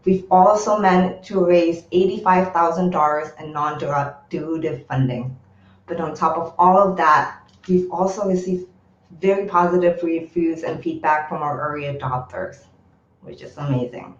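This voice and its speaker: Indonesian-born woman doing a practiced startup pitch, lowish voice, roomy muffled call audio. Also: normal speed. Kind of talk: rehearsed business pitch.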